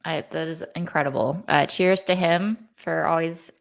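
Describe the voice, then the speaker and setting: Low gravelly-voiced female host. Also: steady tone, normal speed. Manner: warm, encouraging, many ums. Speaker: podcast co-host. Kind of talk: interview hosting.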